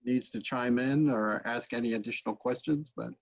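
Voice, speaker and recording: Husky man on a dull video call. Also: medium pitch, normal speed. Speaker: session host. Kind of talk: casual video-call discussion. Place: home study, indoors. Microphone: computer microphone on video call.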